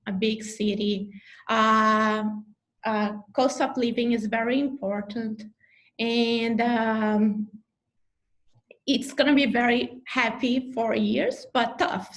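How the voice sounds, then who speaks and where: A woman with a Brazilian Portuguese accent speaks in a slightly high voice with a rough, fry-laced texture. She gives advice over a video call, pausing often to fill gaps and restart her sentences. Her English is fluent but clearly not her first language. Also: low-quality recording. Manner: hesitant, many fillers and restarts. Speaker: neurologist faculty member. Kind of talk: advice talk in an online info session.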